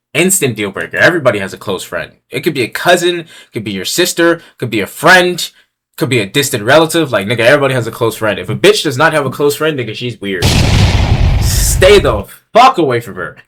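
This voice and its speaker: Young guy ranking stuff on a tier list, crude slangy talk, pitch jumps all over. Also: big pitch swings, brisk. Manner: crude, blunt and slangy, reads each item then riffs on it; swings from low mutters to loud yelling. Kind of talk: casual commentary.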